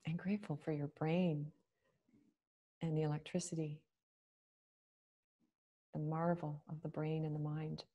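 A woman leading a guided meditation, speaking slowly and gently with long pauses. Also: low voice, level tone, husky, muffled recording.

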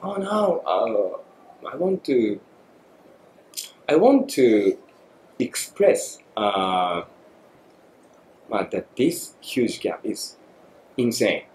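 Japanese man, forties, podcast guest, halting and reflective, echoey studio. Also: mid-range voice, expressive, a little mellow. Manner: thoughtful, halting, many fillers. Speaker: guest photographer. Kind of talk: podcast interview. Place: small studio room. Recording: echoey room, faint noise.

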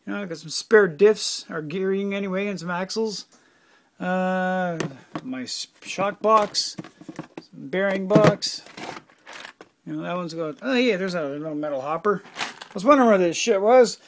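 A man's voice: high-pitched, unhurried, noisy background. A man in his forties giving a rambling, offhand tour of his cluttered home workshop, with lots of fillers and the odd swear word, filmed on a handheld phone. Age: 40s. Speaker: hobbyist vlogger. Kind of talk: casual walkthrough of his workshop. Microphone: handheld phone camera.

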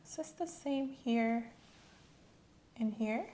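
A young woman speaking softly in a gentle, measured, instructional way as a medical examiner in an ASMR roleplay. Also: fairly high pitch, slight background noise. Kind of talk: soft-spoken ASMR clinical roleplay. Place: small room set up as an exam office.

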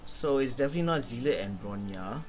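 A young man giving casual let's-play commentary, thinking aloud in a halting way. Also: mid-range voice, animated, slight background noise. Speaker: gaming streamer. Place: small room indoors.

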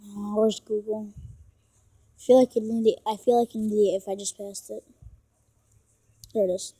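A woman's voice: medium pitch, little pitch movement, moderate pace, warm tone, breathy edge, dry recording. A young female gaming YouTuber talking casually through a phone game tutorial, rambling and apologizing with lots of fillers, recorded on a phone at home. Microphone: phone microphone. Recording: game music over phone-mic voice. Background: game music.

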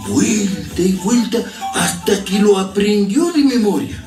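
High-pitched man narrating a radio drama as storyteller, echoey playback over music. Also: measured pace, darkish tone. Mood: reflective.

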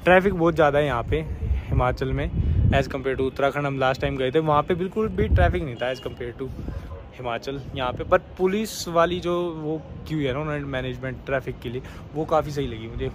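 An excited young man talks to the phone in his hand as he shows off a hotel and a hillside view. His voice sits at an ordinary pitch, and the recording is noisy, with outdoor and traffic sounds clearly audible behind him. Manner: chatty, excited, mixes in English words. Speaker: travel vlogger. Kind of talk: casual travel vlog to camera. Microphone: phone held at arm's length.

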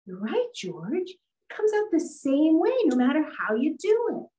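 Naive older married lady, played by an actress, vintage radio comedy, animated pitch, heard distant from the mic. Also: unhurried, reverberant. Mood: innocently pleased. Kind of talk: 1940s radio comedy acting.

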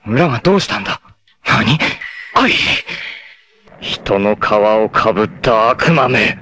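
A man voicing a proud, fierce young male martial artist in a 1980s anime, who moves from measured calm to raging shouts. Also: on the low side. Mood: grim, resolute. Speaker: anime voice actor. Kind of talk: 1980s anime dub acting.

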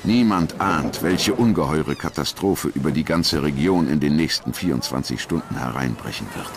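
A man's voice: very deep voice, lively intonation, moderate pace. A man narrating a documentary from a script in a dramatic style. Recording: voice-over with clear background noise.

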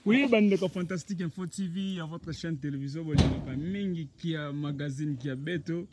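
A man's voice: a little high, normal speed, heavy background noise. A man gives a casual field report outdoors in open grassland. He films on a handheld phone and switches between Lingala and French, chatty and repeating words. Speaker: reporter presenting a building project.

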